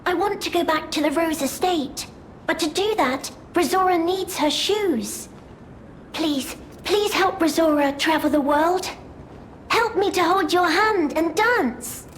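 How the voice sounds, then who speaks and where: A woman voicing a naive, wistful doll girl in a video game, pleading softly and speaking of herself in the third person. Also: very high voice, a little slow, a little hiss or hum. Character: childlike wistful doll girl longing for freedom. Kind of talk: scripted video game character acting.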